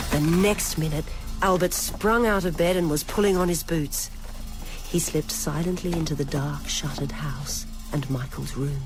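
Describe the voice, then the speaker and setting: Narrator reading a novel over prominent music. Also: medium pitch. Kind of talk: scripted audiobook-style narration of a novel.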